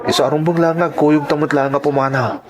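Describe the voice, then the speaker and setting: A man's voice: a little high, flat delivery, fast, highs cut off, crowd noise, natural voice. A man playing a persistent young boyfriend in an Ilocano radio-style drama, speaking in a casual, cajoling way. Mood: persistent coaxing.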